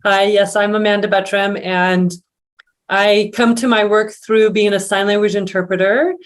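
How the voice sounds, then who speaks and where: A woman introduces herself in a plain, unhurried way, pausing briefly now and then. Her voice sits at an ordinary middle pitch. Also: steady tone. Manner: plain, unhurried, slight hesitations. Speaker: director of a university resource center. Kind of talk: brief self-introduction in a webinar.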